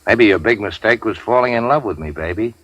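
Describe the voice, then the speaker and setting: An actor playing a tough, cynical racketeer boss in a 1940s radio crime drama, with clipped, slangy, sardonic delivery. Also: male voice, lowish voice, animated, a little fast, no echo, low-quality recording. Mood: cynical, needling. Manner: hard-boiled, slangy, clipped. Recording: dry 1940s radio recording.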